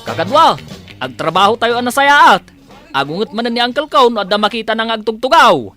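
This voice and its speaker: An actor with an Ilocano Filipino accent playing a grumpy, stingy older village uncle in a radio drama. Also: male voice, extremely high pitch, animated, fairly quick, sharp tone, soft background music, exaggerated voice. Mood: gruff, pleased.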